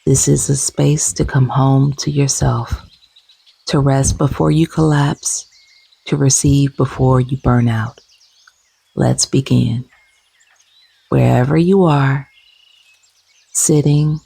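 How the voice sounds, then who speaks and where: A woman leading a guided meditation gently and soothingly, with soft, breathy, husky tones and long unhurried pauses. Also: rumbling low, no echo.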